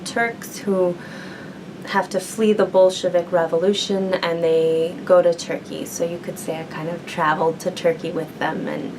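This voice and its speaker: A woman's voice: fairly low pitch, background noise. A young woman, a university student, answering interview questions in a thoughtful way with many fillers. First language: English. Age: young adult. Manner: thoughtful, many ums and likes.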